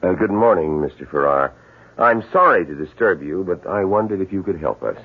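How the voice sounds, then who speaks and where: An actor playing a courteous, measured police sergeant in a radio drama, speaking in a polite, businesslike way. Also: male voice, low-pitched, expressive, husky, exaggerated voice. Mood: polite, inquiring. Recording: dull 1970s radio recording.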